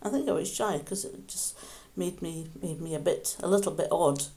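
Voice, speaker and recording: An older woman, gently reminiscing in conversation and often restarting her sentences. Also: lowish voice, normal speed. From Scotland. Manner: gentle, reflective, with frequent restarts. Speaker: interviewee, mother.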